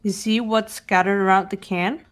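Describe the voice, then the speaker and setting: A woman's voice: medium pitch, fairly even intonation, normal speed, lightly acted. Woman voicing a cool, analytical teenage girl investigator. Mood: calm, analytical. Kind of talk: amateur fan voice acting of a game script.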